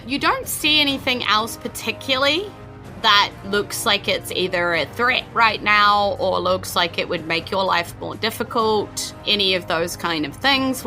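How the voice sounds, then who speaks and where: A woman with a New Zealand accent acting as dungeon master, her voice bright and clear as she describes scenes and calls for rolls. She is brisk and affirming, and soft music hums faintly underneath. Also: lowish voice. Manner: brisk, affirming, short prompts. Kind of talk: narrating and refereeing a tabletop game.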